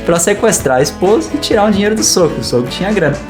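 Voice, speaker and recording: A man co-hosting a casual film talk show, speaking in a relaxed, meandering way with many fillers. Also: high voice, racing. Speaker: podcast co-host. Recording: roomy echo, faint guitar music.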